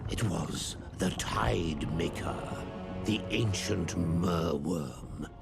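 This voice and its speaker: A man narrating a roleplay story in a grave, dramatic way, drawing out his words and dropping to hushed tones. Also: deep voice, gravelly. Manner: grave, drawn-out, slipping into hushed near-whispers. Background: music.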